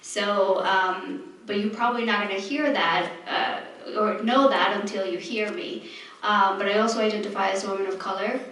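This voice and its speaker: A woman's voice: mid-range voice, fairly even intonation, fairly bright tone. Mexican-accented woman, echoey hall. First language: Spanish. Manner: hesitant, many pauses and ums. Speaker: panelist. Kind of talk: earnest personal account on a panel. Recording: echoey hall, far from the mic, faint noise.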